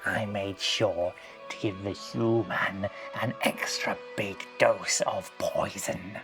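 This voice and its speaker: Man acting a sly, unhurried goblin jailer. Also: on the low side, fairly even intonation, music in the background, voice pushed down. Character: scheming, matter-of-fact goblin jailer.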